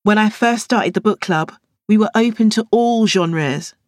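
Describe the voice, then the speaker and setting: A woman's voice: mid-range voice, a little slow, no room sound, studio quality, voice pushed up, lightly acted. A woman voicing a firm, organised female book club leader in an audiobook, insistent and orderly as she explains. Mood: taking charge.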